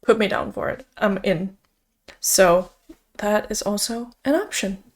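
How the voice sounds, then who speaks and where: A young woman whose first language is Danish talks through her reading plans in a loose, upbeat vlog chat. Her voice sits at an ordinary middle pitch for a woman, and she leans on little fillers as she goes. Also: unhurried. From Denmark. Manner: chatty, upbeat, uses fillers like "like" and "so". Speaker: book vlogger. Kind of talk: casual chat.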